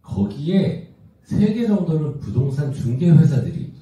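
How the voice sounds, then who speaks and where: A man's voice: fairly high pitch, sing-song, a little slow, dark tone. A man of about fifty from South Korea giving a business presentation in a conversational way, often putting questions to his listeners. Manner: conversational, asks the audience rhetorical questions. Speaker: company executive presenting. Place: large indoor event room with audience. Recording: muffled, echoey hall, distant from mic.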